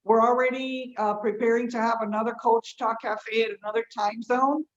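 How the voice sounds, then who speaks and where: A woman's voice: a little high, fairly even intonation, moderate pace. A woman informally hosting an online group meeting, speaking in an effusive, encouraging way. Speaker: host of an online coaching community meetup. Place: online group video call. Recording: dull, lo-fi online call audio.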